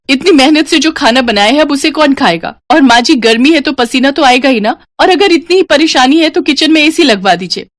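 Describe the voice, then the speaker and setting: A woman's voice: high-pitched, a bit bright, no room sound, clean studio sound, voice pushed up. Woman acting a harried young daughter-in-law. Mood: exasperated. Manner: eager to please, harried. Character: dutiful, overworked young daughter-in-law and mother. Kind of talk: Hindi moral story cartoon voice acting.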